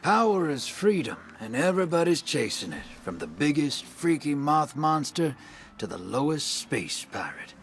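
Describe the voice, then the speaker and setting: A man's voice: a little high, big pitch swings, normal speed, a little hiss or hum. An actor playing a worldly, sly male drifter in a video game, with a folksy, streetwise drawl. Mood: wry, reflective. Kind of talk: video game dialogue acting.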